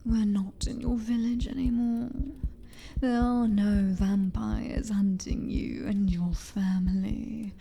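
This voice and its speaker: A woman playing a cruel, possessive vampire mistress in an ASMR roleplay, with a British accent and a breathy, taunting whisper. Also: medium pitch, level tone, warm tone, a little hiss or hum. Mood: menacing, coaxing. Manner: slow, drawn-out, taunting endearments.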